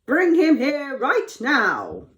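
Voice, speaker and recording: A troubled, commanding king from a storybook, acted by a woman. He declaims theatrically and slowly, the high pitch rising and falling widely. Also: huge pitch swings, a bit bright, full character voice. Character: commanding, troubled male Egyptian ruler telling his dreams.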